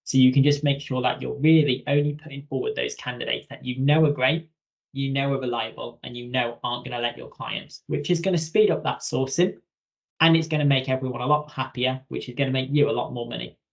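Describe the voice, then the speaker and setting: Gravelly man giving webinar tips. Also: mid-range voice, steady tone, brisk. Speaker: software trainer presenting tips. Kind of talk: webinar presentation, conversational instruction. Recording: dull lo-fi webinar audio.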